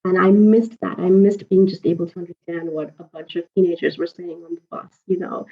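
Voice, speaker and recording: A woman in her forties whose first language is Urdu, telling her story as an interview guest, lively and chatty with frequent laughs and fillers. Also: lowish voice, a little fast. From Pakistan. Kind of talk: conversational interview. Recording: slightly dull lo-fi audio.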